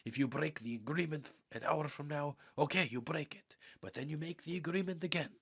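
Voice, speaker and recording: A man reading a spiritual audiobook in an earnest, measured, meditative way, with deliberate pauses and a husky, gravelly voice. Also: fairly high pitch. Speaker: audiobook reader.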